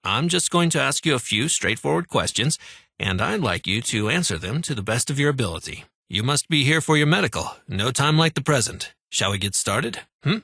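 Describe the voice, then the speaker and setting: An actor playing a courteous, fussy military clinic doctor in a post-apocalyptic video game, with polite, formal bedside patter. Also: male voice, medium pitch, very animated, brisk, creaky, bright, forceful tone, slightly rough, no echo, clean studio sound, full character voice. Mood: polite, businesslike. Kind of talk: scripted video game dialogue.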